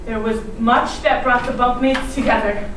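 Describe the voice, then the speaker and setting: High-voiced woman storyteller in echoing hall. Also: fairly even intonation, normal speed, fairly bright tone. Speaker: readers theatre performer. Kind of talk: theatrical ensemble storytelling on stage. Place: large indoor performance space, far mic. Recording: echoey hall from far off, noisy.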